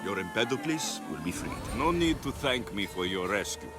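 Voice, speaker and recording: A dry, wry mercenary hero. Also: male voice, lowish voice, very animated, moderate pace. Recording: game cutscene with music.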